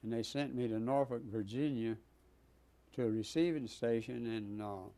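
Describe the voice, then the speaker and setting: Halting, mellow-voiced man in his nineties. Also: mid-range voice. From Texas. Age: 90s. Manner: halting, many pauses and fillers. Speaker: Navy veteran guest. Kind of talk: oral history interview.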